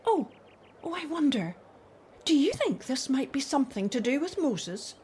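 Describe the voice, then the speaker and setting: A woman playing an aged, anxious Hebrew mother in an audio drama, expressive in her delivery. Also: high-pitched, moderate pace, slight background noise. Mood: concerned, wondering. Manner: worried, expressive.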